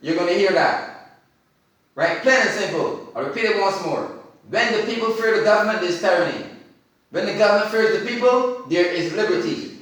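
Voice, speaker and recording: Male talk show host, kinda high voice, repeats stuff for emphasis, super echoey room. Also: lively intonation, moderate pace, fairly bright tone. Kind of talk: conversational hosting. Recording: very echoey room, far from mic.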